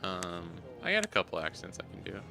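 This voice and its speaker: A man's voice: deep voice, wildly swooping pitch, brisk, gravelly, over music. A man from Montana hosting a casual stream watchparty, chatty and joking, swearing casually, at a desk mic. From Montana, USA.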